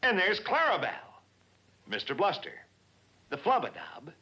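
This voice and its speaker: A man's voice: huge pitch swings, normal speed, pushed and bright, exaggerated voice. A man voicing a puppet boy selling a cereal giveaway in an upbeat 1950s TV commercial. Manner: upbeat sales pitch.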